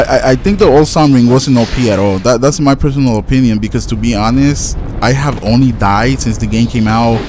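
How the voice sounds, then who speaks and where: Man, Spanish accent, native Spanish speaker, casual gaming commentary, low voice, stuttering restarts, faint background noise. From Latin America. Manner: rambling, stutters and restarts often. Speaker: gaming YouTuber. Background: faint household noise, dog barking.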